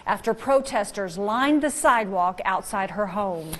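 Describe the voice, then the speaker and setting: A woman co-anchoring a live newscast in a crisp, formal reading. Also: medium pitch, expressive, measured pace, fairly bright tone. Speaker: news anchor.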